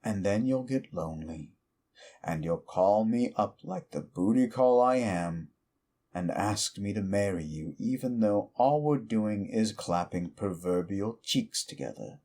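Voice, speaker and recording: A man voicing an ancient, petty male demon who mocks a mortal in a slow, deep drawl, in audio roleplay. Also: animated, warm tone. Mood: scornful.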